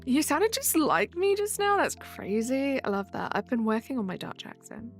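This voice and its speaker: Chatty young woman streaming over soft music. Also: high voice, very animated, moderate pace. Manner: chatty, reads and answers chat while playing. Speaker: live streamer. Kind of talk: casual live stream chat with viewers. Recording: faint background music.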